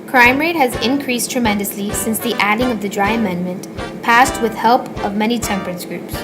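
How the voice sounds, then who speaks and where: Female news reader, slightly high pitch, scripted report narration, music bed. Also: normal speed, low-quality recording.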